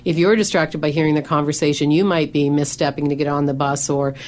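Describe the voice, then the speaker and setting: Low-voiced woman, radio talk guest. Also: flat delivery, fast talker, a little mellow, slight background noise. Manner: says she has a big voice that carries; conversational, many fillers and restarts. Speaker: professional organizer and coach. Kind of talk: radio show conversation.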